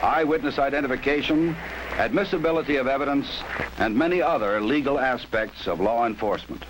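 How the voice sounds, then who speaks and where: An American man reading a formal, measured documentary narration for an instructional film. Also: medium pitch. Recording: noisy 1960s training film soundtrack.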